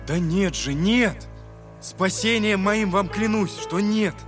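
A kindly young man in an audio drama, sincere and insistent. His voice is somewhat high and a little distant from the microphone, over music. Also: slow pace. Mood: earnest. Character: earnest, kindly young man offering help.

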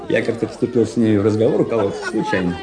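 An actor playing a vain, touchy young romantic cadet in a radio play, with an affected, declamatory delivery. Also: male voice, on the low side, fast, close to an everyday voice. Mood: smug, teasing. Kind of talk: theatrical radio play dialogue. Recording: echoey radio play scene with faint crowd.

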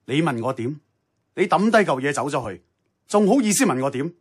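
An actor playing a blunt, seasoned radio station boss in a Cantonese radio drama, middle-aged, with a Hong Kong accent and a dry, challenging manner. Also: male voice, a little high, expressive, fairly quick, slightly rough.

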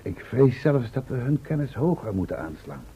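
An actor playing a composed, determined expedition companion in a radio play, measured and formal, with a husky voice. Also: male voice, medium pitch, big pitch swings. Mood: marvelling. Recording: muffled, band-limited old radio play recording.

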